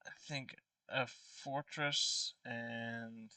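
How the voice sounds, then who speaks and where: Man, sharp bright voice, medium pitch, slightly distant mic, dry, casual strategy chat. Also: fairly even intonation, extremely slow, no echo. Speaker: party game player. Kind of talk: casual game banter and strategy talk.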